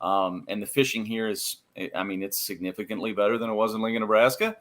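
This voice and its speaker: An American man chatting casually as a podcast guest, telling anecdotes in an animated, self-deprecating way. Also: lowish voice, fast. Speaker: interview guest, radio play-by-play announcer.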